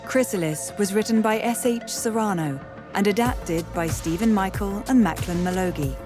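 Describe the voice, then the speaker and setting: A woman's voice: mid-range voice, normal speed, music in the background. A woman announcer giving a scripted read of podcast credits.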